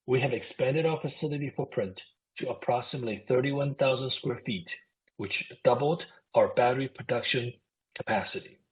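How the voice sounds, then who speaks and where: A man speaking as a company executive, formally reading prepared remarks in a scripted earnings presentation on a conference call. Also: medium pitch. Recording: phone-like narrowband conference call audio.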